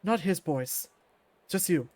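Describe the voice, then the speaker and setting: A man voicing a confident old fighter who recruits with measured, self-assured speech in a visual novel. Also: high-pitched, lively intonation, darkish tone, no room sound, heavily acted.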